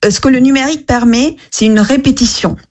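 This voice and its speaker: A woman's voice: high-pitched, fairly quick, darkish tone. A woman whose first language is not French, answering questions as a radio guest, earnest and hesitant with frequent restarts. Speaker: app co-founder, radio guest.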